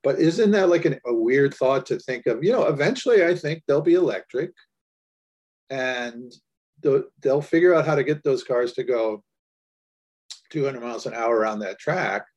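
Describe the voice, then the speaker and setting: A man co-hosting a podcast, musing and joking in relaxed conversation. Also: mid-range voice, normal speed. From United States. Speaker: podcast co-host. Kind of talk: casual podcast discussion.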